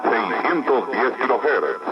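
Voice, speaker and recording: A man's voice: mid-range voice, very animated, takes it slowly. A man giving a formal radio station identification as an announcer. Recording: dull, distant archival radio, loud music.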